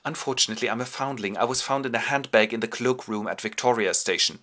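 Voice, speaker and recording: A man voicing an evasive young gentleman who talks in a flustered, hesitant way. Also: medium pitch, fast, close to an everyday voice.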